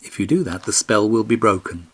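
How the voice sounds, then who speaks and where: A man's voice: fairly low pitch, brisk, husky. A man voicing a magical talking pony, really an enchanted prince, giving commands and questions in a storybook. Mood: solemn explaining.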